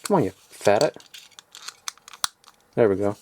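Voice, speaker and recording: A man's voice: on the low side, expressive, a little slow, warm tone, a little hiss or hum. Rambling young man showing old toys. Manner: rambling, offhand, chuckles at himself. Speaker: toy collector vlogger. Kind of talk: casual show-and-tell. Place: home loft.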